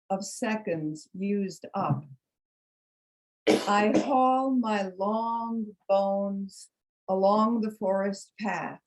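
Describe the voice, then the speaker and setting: A woman reading poetry and introducing it, with measured, deliberate phrasing. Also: mid-range voice, darkish tone, poor audio. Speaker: congregation member reading poetry.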